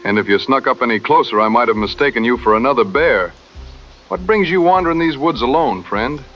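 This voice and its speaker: Guy doing easygoing frontier hunter, folksy drawl, middling pitch, kinda dull audio, radio play. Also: animated, brisk, soft background music, natural voice. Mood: friendly. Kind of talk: radio drama acting.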